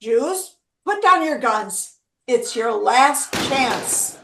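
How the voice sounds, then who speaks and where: A woman playing a stern Nazi officer, making deliberate, commanding proclamations of surrender terms on stage. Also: fairly high pitch, lively intonation, vocal fry, echoey room, noisy background, exaggerated voice. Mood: menacing. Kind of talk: theatrical proclamation.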